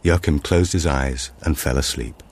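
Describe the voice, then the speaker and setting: A man narrating a suspense thriller audiobook in a measured way, with dramatic pauses. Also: a bass voice, slightly rough.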